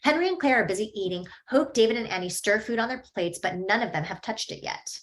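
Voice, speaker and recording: A woman reading screenplay stage directions aloud in a plain, even way at a table read. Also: mid-range voice, fast talker.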